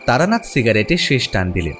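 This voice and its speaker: Actor as a young frame narrator: even, measured storytelling, mid voice. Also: male voice, mid-range voice, soft background music. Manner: plain, measured storybook telling.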